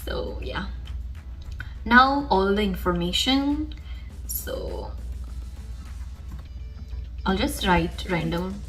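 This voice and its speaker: A young woman whose first language is Gujarati talks through a screen-recorded tutorial in a relaxed, step-by-step way, her voice sitting at an ordinary middle pitch. Faint music plays quietly behind her. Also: very slow, pauses often. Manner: casual, explains step by step while clicking. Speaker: tutorial host.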